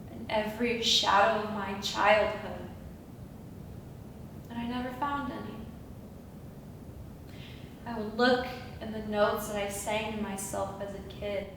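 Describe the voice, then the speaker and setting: A woman acting a theatrical monologue as an anguished, awestruck Bronx woman questioning an angel. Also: a bit bright. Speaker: acting student. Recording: echoey room from far off, rumbling noise.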